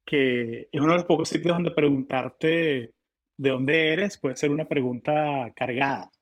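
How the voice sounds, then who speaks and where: A man hosting a podcast, asking questions in a relaxed, chatty way with frequent restarts. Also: on the high side, unhurried. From Latin America. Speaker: podcast host. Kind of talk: conversational interview.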